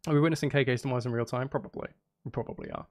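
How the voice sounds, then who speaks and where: A man's voice: mid-range voice, huge pitch swings, very fast, vocal fry. A man livestreaming casually, rambling and thinking aloud as he chats with viewers. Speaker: livestreamer making music live. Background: music and synth sounds.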